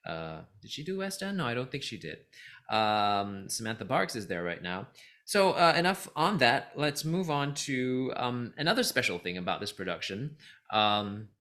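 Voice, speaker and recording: A man from Singapore giving a casual spoken review as a vlogger, thinking aloud in a halting, reflective way and stressing key words. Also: lowish voice, bright, forceful tone. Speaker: vlogger reviewing musicals, voice actor and teacher.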